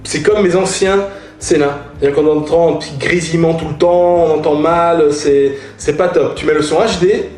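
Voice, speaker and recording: A man's voice: on the high side, fairly even intonation, moderate pace, a little mellow, roomy sound, soft background music. A man chatting informally to viewers as he reviews gear, slangy and emphatic, repeating points for effect. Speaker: motorcycle vlogger reviewing gear. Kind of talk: casual product review talk to viewers.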